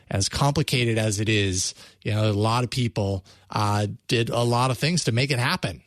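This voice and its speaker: A man's voice: mid-range voice, no room sound. Casual male tech podcast host, dry sound. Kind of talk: casual tech show hosting.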